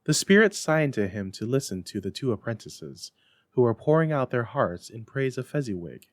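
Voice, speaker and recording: A man reading scripted storybook narration in an expressive, measured way. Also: mid-range voice, no echo, studio quality. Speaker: narrator, dramatic reader.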